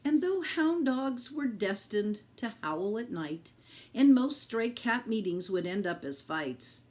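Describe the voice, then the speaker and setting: Woman reading a storybook with very animated pitch. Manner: slow, deliberate, addressing young listeners. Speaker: teacher reading a picture book to children. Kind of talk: expressive storybook read-aloud for children.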